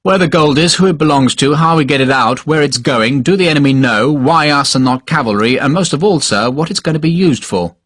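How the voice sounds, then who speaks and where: A man's voice: fairly high pitch, brisk, few pauses, no room sound. A man voicing a blunt rifleman officer who answers tersely with dry sarcasm. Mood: questioning. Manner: terse, dry, quietly sardonic. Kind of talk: audiobook character voice.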